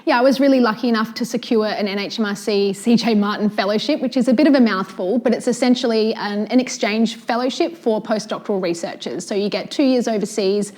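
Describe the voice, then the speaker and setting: A woman's voice: a little high, fast, hardly any pauses, darkish tone. A woman with an Australian accent giving articulate interview answers as a researcher. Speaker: cancer researcher, panel guest.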